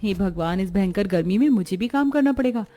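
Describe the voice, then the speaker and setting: A voice actress playing a young new bride who grumbles and whines about housework in an animated story. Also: female voice, mid-range voice, fairly quick, lightly acted. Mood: grumbling. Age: young adult. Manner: whiny, grumbling. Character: young lazy new bride, whiny and complaining.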